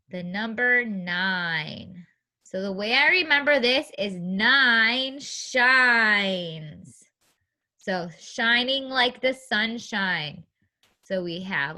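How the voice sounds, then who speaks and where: A woman's voice: mid-range voice, pushed and bright. A woman teaching young children in an interactive read-aloud, speaking in simple, encouraging phrases with guiding questions and a sing-song delivery. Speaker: preschool teacher reading a story aloud.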